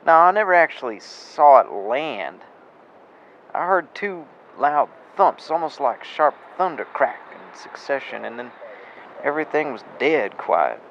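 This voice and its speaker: A man voicing a gravelly old-fashioned farmer from a radio play, rambling on in folksy country talk. He sounds muffled and lo-fi, with a faint hiss behind him. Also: mid-range voice, animated, a little slow, a bit bright. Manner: folksy rural speech, rambling. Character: rustic male farmer, plain-spoken landowner. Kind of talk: amateur radio drama acting. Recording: dull lo-fi with faint noise.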